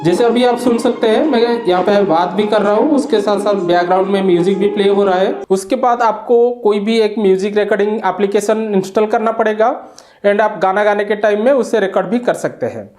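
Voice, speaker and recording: A man's voice: sits high, brisk, darkish tone. A man giving a product demonstration and review, explaining plainly step by step.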